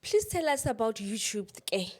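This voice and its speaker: A woman's voice: fairly high pitch, sing-song, normal speed, darkish tone. A South African woman hosting a podcast interview, asking questions and encouraging her guest with short affirmations. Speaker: podcast host. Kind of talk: casual interview.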